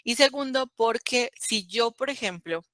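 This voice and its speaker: A woman's voice: fairly high pitch, animated, measured pace, fairly bright tone, dry recording. Chatty woman teaching math. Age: 30s. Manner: conversational, checks with "¿cierto?". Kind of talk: online class lecture.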